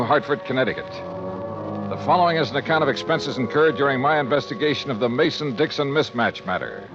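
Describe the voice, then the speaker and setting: A man's voice: medium pitch, natural voice. An actor playing a dry, worldly male insurance investigator in 1950s radio drama, narrating and questioning in a clipped, wry way. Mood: brisk, businesslike. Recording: dull vintage radio recording, music behind.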